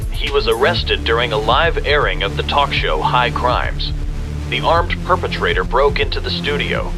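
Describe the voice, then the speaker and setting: A man's voice: mid-range voice, lively intonation, normal speed, lightly acted, low-quality recording. A man playing a dramatic TV crime show presenter, delivering broadcast lines in an emphatic announcer manner. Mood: serious, reporting. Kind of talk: broadcast presenting and news report. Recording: music behind the voice.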